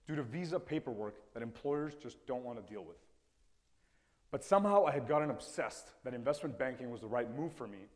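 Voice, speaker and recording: A man in his twenties from Ecuador, a native Spanish speaker, telling personal stories in an earnest, reflective talk on stage. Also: medium pitch. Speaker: TEDx speaker. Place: stage in a lecture hall. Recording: reverberant hall sound.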